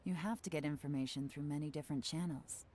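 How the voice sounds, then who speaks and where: A woman voicing a composed intelligence chief in a video game, speaking calmly and with quiet authority over faint game music. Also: deep voice, quick pace, a little hiss or hum, close to an everyday voice. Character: cool-headed woman spymaster giving orders. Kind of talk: game voice acting.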